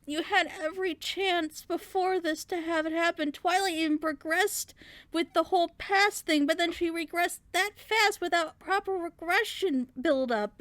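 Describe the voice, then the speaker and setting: A woman with a high voice speaks in a casual, critical discussion. Her delivery is emphatic and exasperated, and the recording is dry with no room sound. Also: fairly bright tone, no room sound. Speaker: reaction show panelist.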